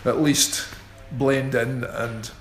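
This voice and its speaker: Slow, wry middle-aged man. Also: medium pitch, little pitch movement, music in the background. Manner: unhurried, self-deprecating, wry. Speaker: guitarist guest. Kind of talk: radio interview. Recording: roomy echo.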